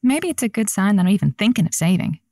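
A woman's voice: mid-range voice, sing-song, quick pace, warm tone, dry recording. Woman playing wry late-night radio host, dry studio. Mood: wry, candid. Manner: wry, familiar, uses pet names. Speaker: radio advice-show host. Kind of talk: semi-scripted audio drama.

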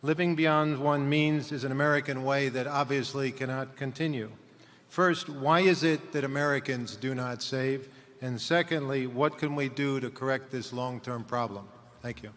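A man in the audience of a large auditorium reading out a prepared question in formal, deliberate phrases. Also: medium pitch, steady tone, fairly bright tone. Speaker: audience member asking a question. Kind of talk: prepared question read aloud. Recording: echoey large hall sound.

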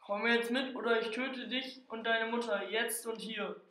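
Schoolgirl voicing a menacing boy soldier, blunt orders, echoey room, a bit high. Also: steady tone, unhurried, fairly bright tone. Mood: threatening. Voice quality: threatening, blunt commands. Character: menacing child soldier, young girl playing him.

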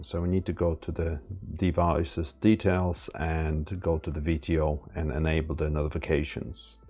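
A man narrating a casual step-by-step product setup tutorial in a plain, halting instructional way. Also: sits low, darkish tone. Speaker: product demonstrator. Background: background music.

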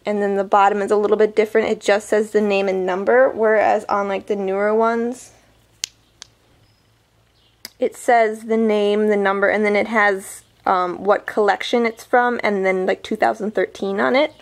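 A woman's voice: medium pitch, little pitch movement, moderate pace. Woman vlogger w/ a summer cold, kinda weak voice, lots of um and like, unboxing stuff off camera. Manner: chatty, many ums and likes. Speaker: beauty vlogger. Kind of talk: casual product unboxing review.

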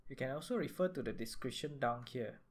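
A man with a mid-pitched voice narrates a step-by-step software tutorial. He speaks as an instructor, marking out each stage for the listener, close on a desktop microphone. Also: lively intonation, brisk. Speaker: tutorial host.

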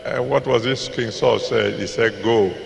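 An older man with a Nigerian accent preaching a sermon into a handheld mic in a large packed auditorium, slowly repeating scripture references and decreeing blessings. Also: medium pitch, steady tone, vocal fry, a little hiss or hum. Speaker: pastor. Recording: echoey hall with long reverb.

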